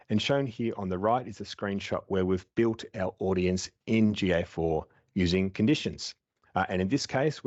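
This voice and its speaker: Low-voiced man presenting over a headset. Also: no room sound. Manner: steady explanatory pace with frequent "um" and "uh". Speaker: webinar presenter. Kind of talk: presenting slides. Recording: dull dry video call audio.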